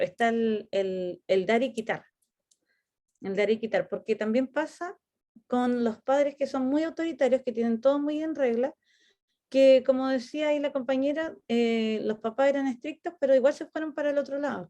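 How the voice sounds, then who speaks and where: Chilean woman teacher, mellow voice. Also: fairly high pitch. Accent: Chilean Spanish. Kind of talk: class discussion. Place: online class.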